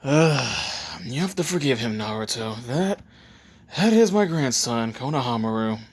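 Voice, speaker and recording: Elderly male leader, gentle and forgiving, halting husky delivery for anime storytelling , acted by a man. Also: mid-range voice, lively intonation. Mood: apologetic. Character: kindly old male village leader, patient grandfather.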